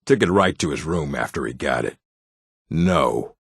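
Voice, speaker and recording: Gruff game orc, rough creaky growl, swinging intonation, curt and weary. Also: male voice, extremely low pitch, lively intonation, measured pace, no echo, clean studio sound, exaggerated voice. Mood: gruff, irritated. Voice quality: gravelly, husky. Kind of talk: video game character acting.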